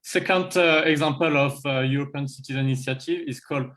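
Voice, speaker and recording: A man with a French accent presenting a climate proposal on an online panel, halting and full of fillers. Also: mid-range voice, fairly even intonation, a bit bright. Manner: halting, many fillers and restarts. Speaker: campaign presenter.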